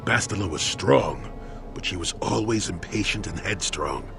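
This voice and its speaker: An actor playing a grave, wise Jedi mentor in a video game, talking in a solemn, measured way. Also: male voice, sits low, animated, creaky, music in the background. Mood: grave, sombre. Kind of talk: dramatic video game acting.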